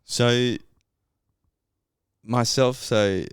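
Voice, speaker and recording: A man's voice: medium pitch, fairly even intonation, drawn-out words, smooth, dry recording, clean studio sound. A young man from Colombia with a Colombian Spanish accent, a podcast guest telling his story in a reflective, casual way with lots of fillers. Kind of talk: casual interview chat.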